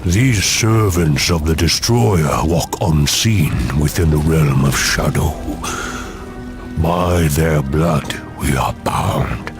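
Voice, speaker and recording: A weary ancient giant under a curse, mournful in fantasy game style. He rumbles extremely low and very slowly over music. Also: exaggerated voice. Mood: weary, resigned. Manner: mournful, solemn, measured. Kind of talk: dramatic fantasy game acting. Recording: music behind the voice.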